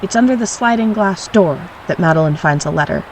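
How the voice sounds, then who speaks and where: A woman playing a wry, reflective storyteller, narrating an audio drama in a measured way. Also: medium pitch, expressive, a little hiss or hum, natural voice, rough recording. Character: wry, reflective storyteller recalling childhood mischief.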